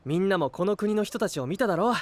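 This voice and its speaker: A man's voice: high voice, animated, fast talker, no room sound. A man playing an earnest, trusting young knight hero in an anime-style voice drama, speaking with friendly sincerity. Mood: disbelieving.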